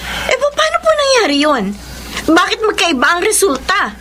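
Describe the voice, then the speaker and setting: A woman's voice: extremely high pitch, very animated, moderate pace, bright, forceful tone, a little hiss or hum, full character voice. A woman playing a flustered, pushy young mother in a Tagalog radio melodrama, exclamatory and emphatic. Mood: shocked.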